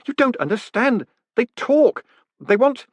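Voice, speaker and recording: A man voicing a panicked young male con man who talks in frantic, high-strung bursts. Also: very animated, fairly quick, a bit bright, dry recording.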